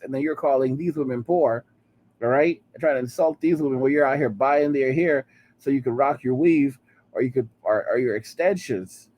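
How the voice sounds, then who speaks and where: A man's voice: on the high side, darkish tone. A man in his late thirties hosting a commentary channel, ranting heatedly and repeating "all right?" between points. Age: 30s.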